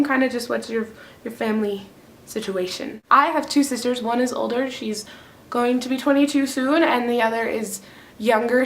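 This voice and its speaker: A woman's voice: a little high, creaky. A young woman from France, chatting casually to camera at home in a bubbly, talkative way. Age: young adult. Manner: bubbly, chatty, quick bursts of excitement. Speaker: vlogger.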